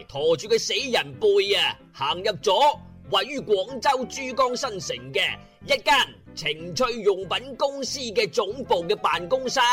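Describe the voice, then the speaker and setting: A woman's voice: mid-range voice, fairly bright tone. A woman with a Guangzhou Cantonese accent narrating a comic radio drama in slangy, mocking storyteller style, drawing words out for emphasis.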